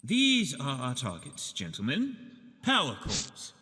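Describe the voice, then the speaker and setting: A man's voice: mid-range voice, huge pitch swings, slow, bright, forceful tone, a little hiss or hum, full character voice. Man acting a pompous, scheming villain doctor, swooping delivery. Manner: pompous, articulate villain monologue. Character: scheming, condescending male villain, a doctor plotting coldly. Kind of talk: theatrical comic dub acting. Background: music.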